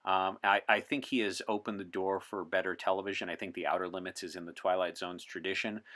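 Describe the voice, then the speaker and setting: Bright, low-voiced articulate man. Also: little pitch movement, a little fast. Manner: articulate, opinionated, wry. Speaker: podcast guest. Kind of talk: in-character interview chat as a 1960s time traveler.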